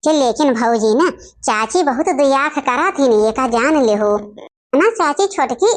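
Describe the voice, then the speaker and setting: A woman's voice: high-pitched, expressive, measured pace. A woman with an Awadhi accent voicing a meddling village gossip in a cartoon family comedy, insinuating and stirring quarrels in homely speech. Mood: stirring trouble.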